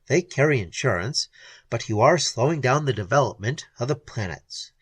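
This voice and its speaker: A reader playing Keene, a soft-spoken pleading man. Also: medium pitch, lively intonation, normal speed, breathy edge, dry recording, pitched below the performer's own voice. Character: earnest man pleading, gentle and sad. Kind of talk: audiobook dialogue.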